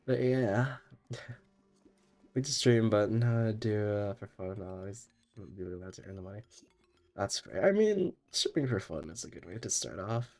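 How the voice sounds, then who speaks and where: A man's voice: fairly low pitch, lively intonation, a little slow, a little mellow, soft background music. A young man streaming a game while sick, chatting in a rambling way and laughing often. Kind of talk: casual gaming stream chat.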